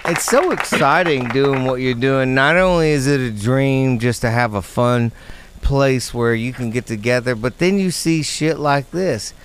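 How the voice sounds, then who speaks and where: A man hosting a podcast in loud, profane, joking casual chat. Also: medium pitch, unhurried, background noise. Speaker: podcast host.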